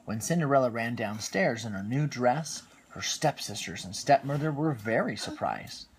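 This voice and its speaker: A man reading a picture-book story aloud to a young child on a phone livestream, gently stopping to chat with the child. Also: medium pitch, animated, moderate pace, slight background noise. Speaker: storytime reader.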